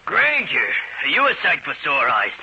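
An actor playing a cocky, slangy New cab driver in a radio detective drama, with a raspy voice. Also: male voice, a little high, wildly swooping pitch, normal speed, pushed and bright, full character voice. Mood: wry. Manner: slangy, brash. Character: cocky wisecracking New York cab driver, tough little man. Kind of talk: old-time radio acting. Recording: dull old-time radio recording.

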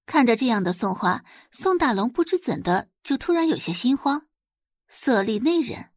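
Expressive, lively woman audiobook narrator. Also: fairly high pitch, moderate pace, dry recording, studio quality. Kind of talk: scripted dramatic narration.

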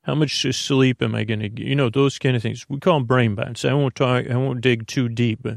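A man hosting a bedtime podcast in a meandering, halting monologue, reassuring the listener, often repeating himself and trailing off mid-thought. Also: mid-range voice, steady tone, creaky, no echo, clean studio sound. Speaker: podcast host. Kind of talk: rambling bedtime monologue to help listeners sleep.

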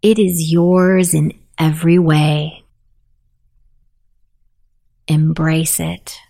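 A woman narrating a guided sleep meditation in a soothing, softly spoken, unhurried manner. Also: sits low, level tone, creaky.

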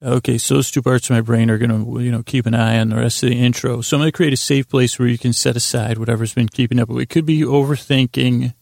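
A man hosting a sleep podcast in lulling, soothing tones, meandering and halting through a gently self-deprecating monologue. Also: mid-range voice, fairly even intonation, creaky, no room sound, clean studio sound. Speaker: podcast host.

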